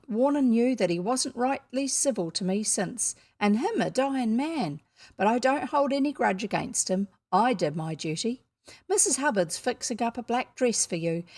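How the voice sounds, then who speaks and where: A woman's voice: a little high, a little mellow, no echo, clean studio sound, pitched above the performer's own voice. A woman reading a storybook as a blunt, self-righteous rural housekeeper, folksy and matter-of-fact.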